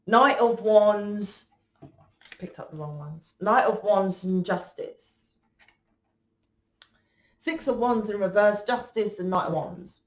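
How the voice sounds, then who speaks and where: A woman's voice: medium pitch, slow pace, long pauses. A woman giving a rambling tarot reading, blunt and swearing freely. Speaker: tarot reader. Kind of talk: casual tarot reading monologue. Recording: echoey room.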